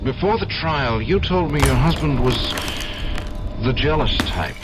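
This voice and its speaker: An American actor playing a troubled, weary defense attorney in an old-time radio drama. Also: male voice, mid-range voice, expressive, measured pace, music in the background, lightly acted. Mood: grave, pressing.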